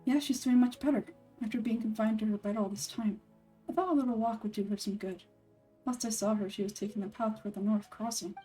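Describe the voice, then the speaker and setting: A composed young scholar-ranger from a video game, a man voiced by a woman, with a mellow tone and a dry, sardonic edge. He explains things in measured, matter-of-fact phrases, a little far from the mic over background music. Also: mid-range voice, steady tone, natural voice.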